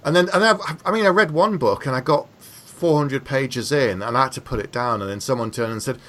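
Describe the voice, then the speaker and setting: A man's voice: mid-range voice, brisk, a bit bright. A man chatting casually on a book podcast, opinionated and conversational, acting out little imagined exchanges to make his points.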